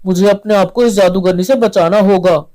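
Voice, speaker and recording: A woman's voice: mid-range voice, steady tone, normal speed, warm tone, lightly acted. A woman voicing a proud, grumpy talking tree in a children's story, with a haughty, curt manner. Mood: alarmed.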